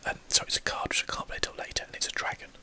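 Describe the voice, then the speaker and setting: Casting: game streamer for ASMR, fully whispered, bright and airy, casually thinking through each move. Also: rapid-fire. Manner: whispering throughout, breathy and unvoiced. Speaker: gaming video host. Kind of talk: whispered ASMR gameplay commentary.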